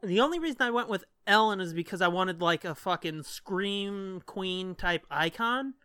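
Bright-voiced, profane podcast co-host, very dry sound. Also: medium pitch, expressive, moderate pace, clear, resonant tone, no room sound. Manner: profane, slangy, blunt. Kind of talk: casual chat.

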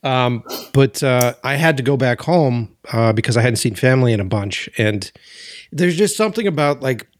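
A man's voice: mid-range voice, expressive, moderate pace, no echo, clean studio sound. Chatty joking male podcast host. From US East Coast. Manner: chatty, joking, swears casually. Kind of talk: casual podcast interview. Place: Los Angeles, remote call.

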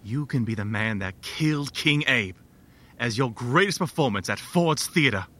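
An actor playing a devout, challenging conspirator with a forceful, declamatory manner in a historical drama. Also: male voice, medium pitch, animated, normal speed. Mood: determined. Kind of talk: historical audio drama acting.

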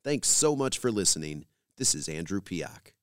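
Lively-pitched man hosting a podcast, dry sound. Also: moderate pace, no room sound. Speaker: podcast host. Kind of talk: scripted podcast host narration.

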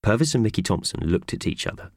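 A man narrating a children's audiobook in an expressive, scripted storytelling style. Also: lowish voice, fast, creaky, dry recording, clean studio sound. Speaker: audiobook reader.

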